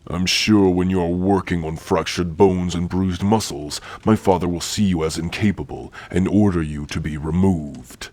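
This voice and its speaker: A man voicing a stern, gruffly caring orc warrior-healer in an ASMR roleplay, speaking in a formal, commanding way. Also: low-pitched, steady tone, normal speed, few pauses, darkish tone, no room sound, natural voice. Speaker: roleplay voice actor.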